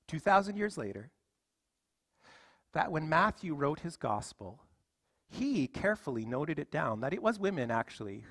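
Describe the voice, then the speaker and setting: A man's voice: a little high, fairly bright tone, breathy edge, dry recording, clean studio sound. A man in his thirties preaching a sermon from a church stage, emphatic and full of rhetorical questions. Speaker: pastor. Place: church stage with music stands.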